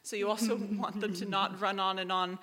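Bright-voiced woman in an echoey hall. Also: medium pitch, level tone, a little fast. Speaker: audio drama writer and creator on a panel. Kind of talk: live panel question-and-answer talk. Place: live event room at a festival. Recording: echoey hall, long reverb.

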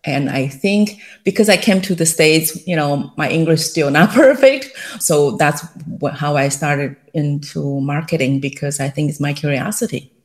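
A woman's voice: deep voice, normal speed. A middle-aged woman with a Taiwanese accent, telling her story as a guest in an interview, with many fillers and light laughs. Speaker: guest, company founder. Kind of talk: casual interview.

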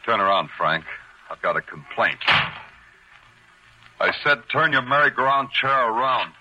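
An actor playing a sardonic police detective in 1940s radio noir, with clipped, wry, needling delivery. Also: male voice, mid-range voice, animated, unhurried, pushed and bright, muffled recording, a little hiss or hum. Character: hard-boiled, sardonic male police detective. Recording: old, rough-sounding radio drama recording.

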